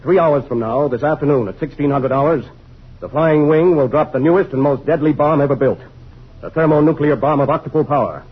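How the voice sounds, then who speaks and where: An actor playing a stern, weary army general in a vintage science fiction radio drama, speaking with clipped authority. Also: male voice, a little high, fairly quick, breathy edge. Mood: grave. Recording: muffled, noisy old radio recording.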